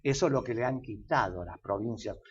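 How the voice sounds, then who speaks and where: A man's voice: mid-range voice, fairly quick, slightly rough, muffled recording. An older man with an Argentine accent, explaining as an expert guest in an interview, lecturing at length with frequent fillers and restarts. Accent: Argentine Spanish.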